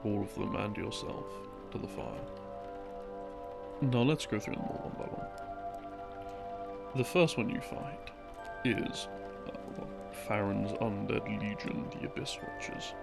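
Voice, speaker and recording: A man telling lore as a soft, rambling sleep-aid story, breathy and often sinking into a murmur, in halting short phrases. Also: on the low side. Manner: halting, broken into short phrases with long pauses. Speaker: audio roleplay narrator, playing a boyfriend explaining game lore. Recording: music behind the voice. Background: soft background music.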